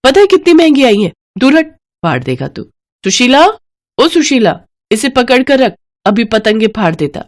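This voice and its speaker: A woman voicing a haughty rich mistress of the house in a sharp, bossy, accusing manner for a children's story. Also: fairly high pitch, very animated, moderate pace. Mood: angry scolding.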